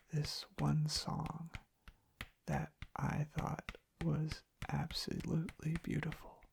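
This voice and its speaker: A young man giving a soft-spoken ASMR ramble close to the microphone, hushed and halting, with pauses mid-sentence. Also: a little high, gravelly. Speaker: ASMR content creator. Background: soft tapping and clicking.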